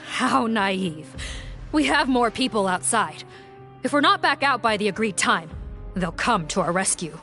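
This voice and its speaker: A woman's voice: medium pitch, expressive, measured pace. A woman voicing a stern, commanding guard captain in a video game, speaking with authority. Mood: wry, steady. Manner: authoritative, forceful orders. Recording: game music behind the voice.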